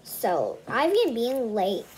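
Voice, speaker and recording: A woman's voice: fairly high pitch, sing-song, a little slow, a little mellow, low-quality recording. A young girl reading a children's book aloud with casual asides, often stumbling and repeating phrases. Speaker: read-aloud host.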